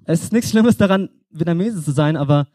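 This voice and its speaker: Young male preacher, dark dry voice. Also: fairly high pitch, lively intonation, a little fast, no echo. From Germany. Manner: conversational, addresses listener directly. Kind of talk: informal sermon.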